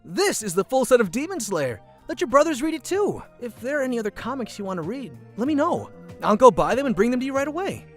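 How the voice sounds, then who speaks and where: A man playing a shy, earnest, nerdy high school boy in a manga dub, narrating and speaking in dialogue. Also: very animated, quick pace, hardly any pauses, soft background music. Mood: eager, nervous.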